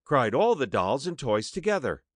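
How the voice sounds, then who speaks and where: A man's voice: medium pitch, sing-song, moderate pace, dry recording, clean studio sound. A man narrating a children's story expressively, with dramatic emphasis as an audiobook narrator.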